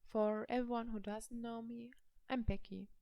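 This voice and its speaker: A young woman from Germany with a German accent, speaking slowly and carefully to the camera in a personal language vlog. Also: fairly high pitch, little pitch movement, gravelly, no room sound, muffled recording. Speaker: language vlogger. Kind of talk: slow personal vlog talk.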